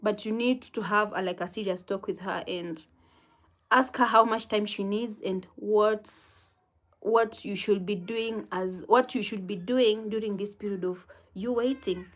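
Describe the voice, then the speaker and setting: A woman with a Kenyan accent hosting a casual livestream, reading viewer comments and giving gentle, unhurried advice with soft laughs. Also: mid-range voice, fairly even intonation.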